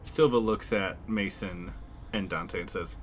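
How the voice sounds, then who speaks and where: A man's voice: deep voice, a little slow, a little hiss or hum. A man playing in a tabletop roleplaying game, describing his character's actions and bantering in character.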